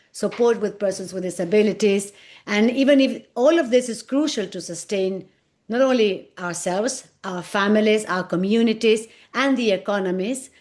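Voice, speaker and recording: A woman's voice: medium pitch, a little mellow. A woman from Argentina, a native Spanish speaker, presenting in English as an NGO director on a panel over a video call. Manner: measured, list-like delivery.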